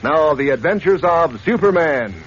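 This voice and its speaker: A man's voice: expressive, moderate pace, slightly rough. An actor playing a boy's friendly older pal in a 1940s radio advert, chummy and salesman-like. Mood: chummy, promotional. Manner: chummy, pitching. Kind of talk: 1940s radio acting in a sponsor spot. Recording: dull, noisy old radio recording.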